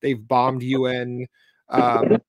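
A man's voice: medium pitch, monotone, extremely slow, dry recording, lo-fi sound. A young man hosting an interview from a room at home over a video call, eager and full of ums and restarts. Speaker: host, interviewer. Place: home room with bookshelves, indoors. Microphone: webcam on a video call.

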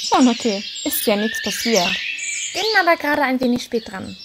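Feisty young girl, high animated voice, easily flustered, audio drama, noisy background. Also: normal speed. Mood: friendly, shy. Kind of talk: German children's audio drama acting.

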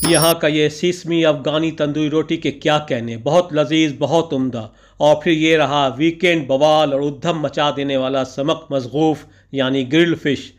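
A man's voice: on the high side, fairly even intonation, moderate pace, soft background music. Man narrating a poetic vlog voice-over. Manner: flowery Urdu-laced phrasing with couplets and praise. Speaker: vlogger narrating a food outing.

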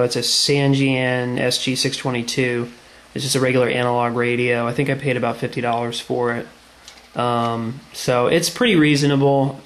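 A man giving a casual hands-on how-to demonstration, explaining plainly step by step. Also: mid-range voice. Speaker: tutorial presenter. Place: indoors at a tiled tabletop. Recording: echoey room, background noise.

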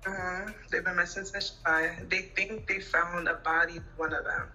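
A young woman talks into her phone from a small bedroom on a video call. Her voice is very bright and sharp, held to a narrow, flat pitch range. She chats casually and fast, dropping "like" all through her sentences, with faint music behind her. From Honduras, Garifuna. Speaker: guest. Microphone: phone at arm's length on video call.

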